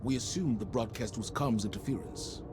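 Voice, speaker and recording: A man's voice: medium pitch, moderate pace, background noise, lightly acted. A man playing an authoritative military mission commander in a video game, giving a formal, clipped briefing. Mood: businesslike. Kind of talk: scripted video game voice acting.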